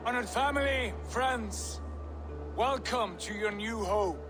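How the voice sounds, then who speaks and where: An actor playing a confident, commanding Viking jarl and proud clan leader in a dramatic video game. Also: male voice, extremely high pitch, takes it slowly, music in the background. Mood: proud, welcoming.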